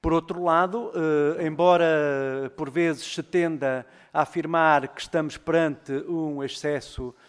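A man speaking as a member of parliament, giving a formal speech full of filled pauses and restarts. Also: fairly high pitch, fairly even intonation, smooth. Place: parliamentary committee room. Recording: long hall reverb.

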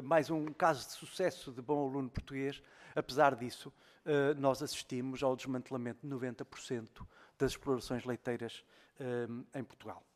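A man speaking as a member of parliament, giving a formal speech full of filled pauses and restarts. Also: a little high. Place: parliamentary committee room. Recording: long hall reverb.

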